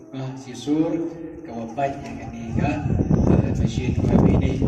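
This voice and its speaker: A man explaining things to a group at an uneven pace. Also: medium pitch. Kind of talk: explanatory talk. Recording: echoey hall from far, loud noise.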